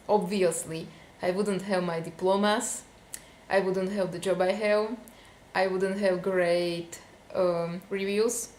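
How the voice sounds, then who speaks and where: A woman's voice: fairly low pitch, darkish tone, reverberant, slight background noise. A young woman from Slovakia with a Slovak accent, venting casually to camera in a vlog, with many fillers. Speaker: vlogger.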